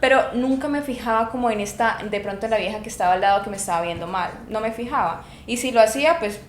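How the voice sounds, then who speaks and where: A woman's voice: fairly high pitch, fast talker. Woman, 20s, Colombian Spanish accent, chatty storyteller, laughs often, echoey room, faint noise. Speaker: podcast co-host. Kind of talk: casual chat.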